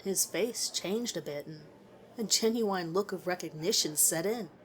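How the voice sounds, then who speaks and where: A woman's voice: on the low side, unhurried, poor audio. A woman reading a scripted first-person story aloud as its narrator.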